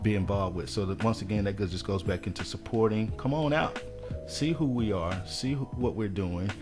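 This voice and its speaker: A man with a lowish voice talks on a community radio show, pressing parents hard to show up for their children. He speaks in earnest, pleading tones, with music playing behind him. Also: moderate pace. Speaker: radio guest, community mentor and counselor. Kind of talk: radio interview talk.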